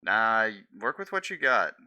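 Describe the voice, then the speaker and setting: Bright blunt young man streaming. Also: lowish voice, sing-song, normal speed. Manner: blunt, slangy, swears casually. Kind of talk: casual chat on a live stream.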